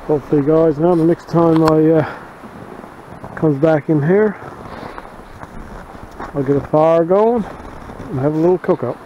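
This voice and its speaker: A man talking in a plain, unhurried, folksy way while showing his camp in the snowy woods, recorded on a wearable camera. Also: fairly high pitch, highs cut off, slight background noise. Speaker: bushcraft vlogger. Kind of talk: casual outdoor narration. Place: snowy forest outdoors.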